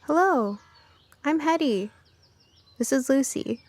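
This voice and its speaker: A woman's voice: high voice, animated, takes it slowly, pitched above the performer's own voice. A woman voicing a curious, science-minded young girl in an audiobook, earnest and eager in manner. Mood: friendly. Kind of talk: audiobook character dialogue.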